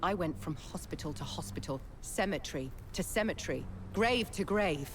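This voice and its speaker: A woman with an English accent playing a vengeful, grieving undead vampire sister in a video game, mocking and unhinged, in a theatrical, drawn-out manner. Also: fairly low pitch, lively intonation, over music, natural voice. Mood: grieving, bitter. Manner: taunting, dramatic, drawn-out.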